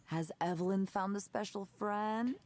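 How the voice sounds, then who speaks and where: A woman's voice: mid-range voice, a little slow, a little mellow, close to an everyday voice. A woman playing a flirtatious, assured friend in a visual novel, coaxing and teasing as she talks. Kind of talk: video game voice acting.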